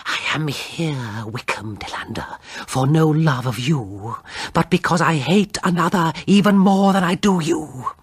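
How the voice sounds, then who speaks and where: A man voicing a bitter, disfigured ghostly woman in a horror story, with a slow, breathy, menacing hiss. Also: on the high side, no echo. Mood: bitter hatred. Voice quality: breathy, whispery.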